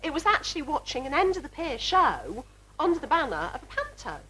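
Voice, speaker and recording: High, very animated, bright woman. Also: fairly quick, poor audio. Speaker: interviewee. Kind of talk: informal interview chat.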